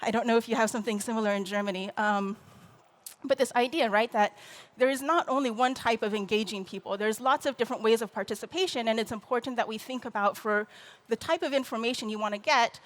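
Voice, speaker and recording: A woman's voice: fairly high pitch, fast. Woman giving an earnest conference talk. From Taiwan. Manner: earnest, explanatory, frequent restarts and "um"s. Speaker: conference speaker. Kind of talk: conference presentation.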